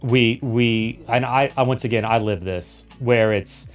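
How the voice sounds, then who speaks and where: A man's voice: mid-range voice, unhurried, soft background music. A man hosting a podcast in casual conversation, rambling with lots of restarts and repeated words. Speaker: podcast host.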